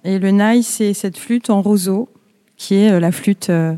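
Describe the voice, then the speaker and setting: A woman's voice: medium pitch, a little slow, dark tone. A woman musician introducing her own performance.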